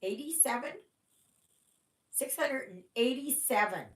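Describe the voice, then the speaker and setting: A woman's voice: a little high, animated, a little slow, roomy sound. A Canadian woman whose first language was German, hosting a casual stitching livestream and chatting in a rambling way full of "oh my gosh".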